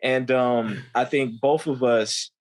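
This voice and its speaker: Casting note: young man, mid-pitched voice, casual, loose, candid storyteller. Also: takes it slowly. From United States. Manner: casual, slangy, repeats "you know what I'm saying". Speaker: guest.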